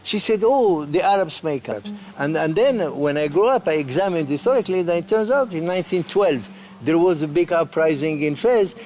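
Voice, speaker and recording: An older man from Morocco recalling his childhood in a documentary interview, speaking earnestly and haltingly. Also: fairly high pitch, expressive, a little mellow, a little hiss or hum.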